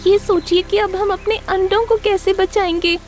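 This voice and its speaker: A woman's voice: very high voice, fairly even intonation, normal speed, faint music behind, voice pushed up. A woman voicing an earnest, worried mother bird in a cartoon story, pleading and exclaiming. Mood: reproachful, worried. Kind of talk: Hindi cartoon story character acting.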